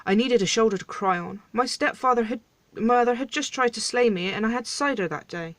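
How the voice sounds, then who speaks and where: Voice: medium pitch, fairly quick, a bit bright, dry recording, voice pushed up, close to an everyday voice. A storyteller voicing a brazen young fairy-tale princess who is self-pitying, plaintive and defensive. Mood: tearful, plaintive.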